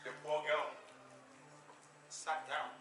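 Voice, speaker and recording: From a distant mic, with music behind, comes a man telling a dramatic story. His voice is high and piercingly bright. He delivers it theatrically, with declamatory emphasis. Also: little pitch movement, slow pace. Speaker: narrator and performer of a stage play. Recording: echoey stage, distant mic, background music.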